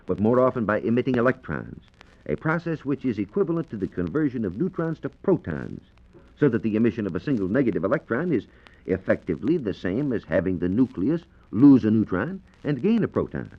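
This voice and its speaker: A man's voice: on the low side, very animated, a little fast. An American man working as a science reporter, explaining things precisely and didactically in the manner of 1940s radio. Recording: muffled vintage 1940s radio recording.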